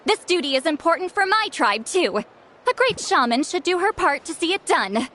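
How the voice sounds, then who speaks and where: A woman voicing a proud, sharp-tongued female shaman who acts like a grumpy elder in a video game. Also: very high-pitched, very animated, moderate pace, slight background noise, exaggerated voice. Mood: haughty. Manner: haughty, teasing, self-assured. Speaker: game character.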